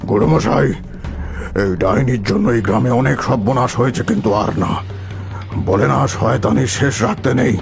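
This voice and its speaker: An actor playing a vengeful older village landlord and patriarch in a melodramatic cartoon, with a gravelly voice. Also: male voice, medium pitch, normal speed, music in the background, lightly acted. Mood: furious, threatening. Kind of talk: melodramatic Bengali cartoon acting.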